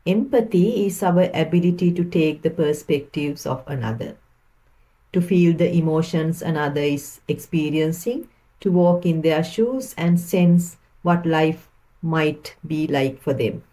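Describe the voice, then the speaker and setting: A woman guides a meditation with a very mellow, relaxed tone. Her voice sits a little low, and she speaks very slowly. The delivery is gentle and calming, leaving space between phrases. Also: low-quality recording.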